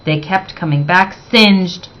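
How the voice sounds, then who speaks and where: A woman reading a picture book aloud in an expressive, deliberate way, stressing words for young listeners. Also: lowish voice, muffled recording. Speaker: children's story reader.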